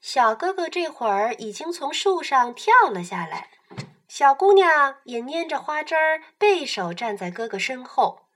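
Animated high-voiced woman storyteller. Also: unhurried. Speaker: storyteller narrator. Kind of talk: animated narration for a children's radio play.

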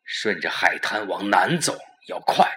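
A man playing a smug, scheming murder plotter in a suspense audio drama, coaxing his lover with emphatic, deliberate instructions. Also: low-pitched, big pitch swings, a bit bright, heavily acted. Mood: insistent.

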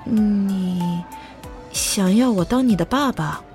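Casting: actress as tender, easily flustered young woman for radio play; unhurried, soft, hesitant delivery. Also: mid-range voice, soft background music, lightly acted. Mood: hopeful. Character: gentle, flustered young woman, doting on a child. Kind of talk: Chinese audio drama acting.

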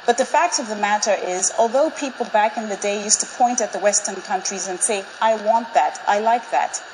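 A woman in her forties, from Zambia, gives a prepared public talk in a measured, persuasive and emphatic way to a live audience. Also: medium pitch, noisy background. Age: 40s. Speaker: speaker. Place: large auditorium with live audience.

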